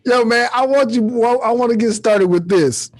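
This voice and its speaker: A man's voice: very high-pitched, normal speed. A man hosting a podcast, chatting casually as he introduces and questions a guest. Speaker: podcast host. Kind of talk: casual podcast interview chat.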